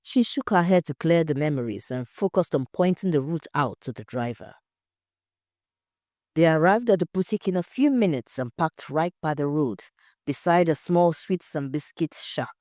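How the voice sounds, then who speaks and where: A woman's voice: deep voice, expressive, moderate pace, mellow, dry recording, clean studio sound. A woman narrating a scripted audio story.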